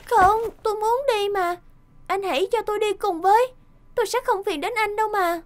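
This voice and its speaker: A woman voicing a plucky, pleading young fairy-tale princess in an animated cartoon, eager and insistent. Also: extremely high pitch, moderate pace. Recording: music behind the voice.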